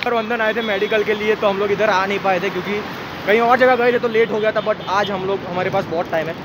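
A young man from India talks casually to his phone camera while walking around outdoors, chatty and joking with his friends. His voice sits fairly high for a man. Traffic and street noise run clearly behind him in this outdoor phone recording. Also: steady tone, fast. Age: young adult. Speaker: vlogger. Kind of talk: casual vlog narration to camera. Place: outdoors on a city street and riverfront. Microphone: phone camera at arm's length.

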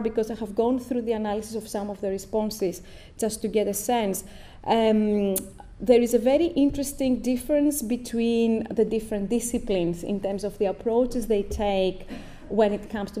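A woman in her thirties with a Greek accent giving a conference presentation as an academic, explaining in a halting, self-correcting way. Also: a little high, warm tone. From Greece. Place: indoor stone-walled venue, at a lectern. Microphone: lectern microphone.

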